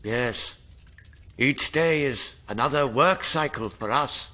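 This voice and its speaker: An actor playing an earnest rebel leader in an audio drama, with a declamatory manner. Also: male voice, medium pitch, slow pace, sharp tone. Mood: grave, hopeful. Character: earnest male rebel leader rallying oppressed workers.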